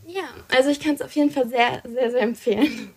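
A woman's voice: high-pitched, a little fast, a little mellow, breathy edge. A young woman co-hosting a podcast, chatting enthusiastically about books she loves. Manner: enthusiastic, chatty, many fillers. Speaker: podcast co-host presenting books. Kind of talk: casual podcast chat.

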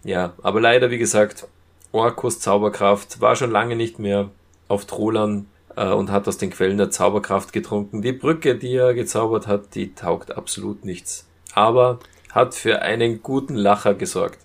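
A man casually reviewing as a podcast co-host, retelling scenes in a halting way. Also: on the low side, fairly even intonation, vocal fry. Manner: halting retelling with acted-out quotes.

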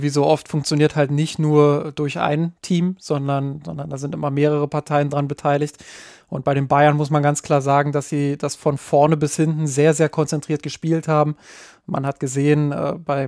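A man co-hosts a football podcast in a rather high, very flat voice. He talks hesitantly, filling gaps with fillers and repeating words mid-sentence, and the sound is close and dry with no room echo. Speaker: podcast co-host. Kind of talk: casual football podcast chat.

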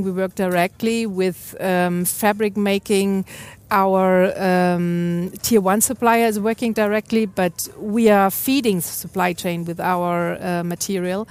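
Woman, medium pitch, company speaker fielding audience questions, unscripted, halting, explanatory. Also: darkish tone. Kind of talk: unscripted panel answers.

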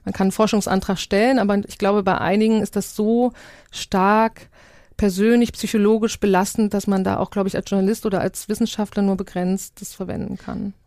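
Female documentary maker as interviewee. Medium pitch, reflective, conversational, searching for the right word, close dry sound. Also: fairly even intonation, brisk, warm tone, dry recording. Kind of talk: conversational interview, recounting own project.

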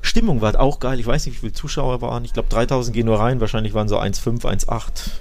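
A man's voice: medium pitch, fairly even intonation, fast talker, a little mellow. Man chatting enthusiastically on a football podcast. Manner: slangy, enthusiastic storytelling. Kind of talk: casual football podcast chat.

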